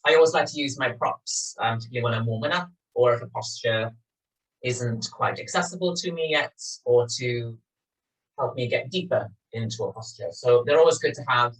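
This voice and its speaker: A man's voice: medium pitch, brisk, distant mic, low-quality recording. A man with a Welsh Swansea accent leads a yoga class in a friendly, rambling way. His middling voice comes from a little way off the mic. The sound is thin, as from a video call. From Wales. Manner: chatty and gentle, with soft "um"s. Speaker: yoga teacher. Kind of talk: spoken yoga class introduction. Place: small home studio. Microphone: video call recording.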